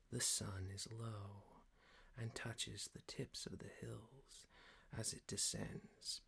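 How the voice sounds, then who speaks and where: Guy playing a gentle boss guy doing asmr guided meditation, low breathy whispery voice with gravelly fry, soothing. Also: exaggerated voice. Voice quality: breathy, hushed. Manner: slow, deliberate, gentle.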